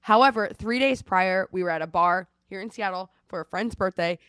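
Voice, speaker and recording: A woman in her thirties, congested and losing her voice, chatting at home and telling a lively story over a video call. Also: medium pitch, normal speed, clear, resonant tone, dry recording. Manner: chatty storytelling, animated retelling. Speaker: co-host. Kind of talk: casual chat. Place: at home in Seattle.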